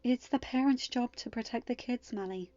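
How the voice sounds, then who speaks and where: A woman voicing a protective, regal goddess mother who speaks in a measured, firm and dryly amused way, in a storybook reading. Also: fairly high pitch, dark tone, muffled recording, lightly acted. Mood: gently amused.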